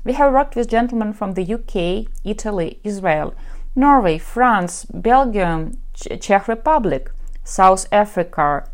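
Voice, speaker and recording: A woman's voice: medium pitch, darkish tone. A woman from Ukraine, a matchmaker, talking frankly and wryly in a solo podcast monologue. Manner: confident, frank and wry.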